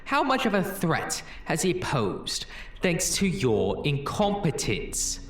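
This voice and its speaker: A bitter, mocking voice inside someone's head, acted out for a fan audio drama, swooping widely in pitch with sarcastic theatrical flourishes. It rings in a roomy echo. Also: female voice, huge pitch swings, takes it slowly, exaggerated voice. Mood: scornful. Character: bitter, mocking inner voice of an erased time-traveling Doctor.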